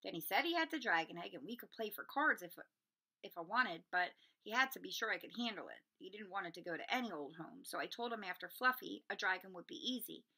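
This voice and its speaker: A woman plays a big, friendly gamekeeper from a children's storybook. He rambles in a casual, unguarded way, with a bright, bouncy delivery that rises and falls freely. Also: medium pitch, animated, a little fast. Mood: chatty, recollecting. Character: big easygoing male gamekeeper, chatty and unguarded.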